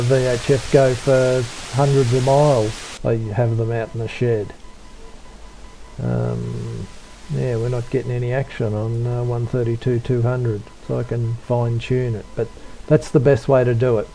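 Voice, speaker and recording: A man giving an unscripted software tutorial, talking in a low-key, hesitant way as if thinking aloud. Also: rough recording. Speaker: tutorial presenter, radio hobbyist.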